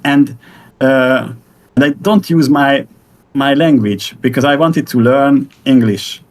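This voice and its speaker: A middle-aged man with a Hungarian accent, a native Hungarian speaker, talking haltingly in English on a video call and searching for words with many fillers. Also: medium pitch, darkish tone. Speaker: guest musician. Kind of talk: casual conversation.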